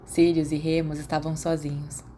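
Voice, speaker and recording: A woman's voice: sits low, level tone, normal speed, warm tone, slight background noise. A woman with a Brazilian accent reading scripted fiction as an audiobook narrator.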